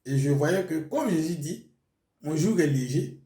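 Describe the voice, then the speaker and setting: A man's voice: a little high, expressive, unhurried, warm tone. Man, pastor from Burkina Faso, Mooré first language; hesitant testimony, distant mic, echoey room. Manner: hesitant, with frequent fillers. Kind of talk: testimony interview.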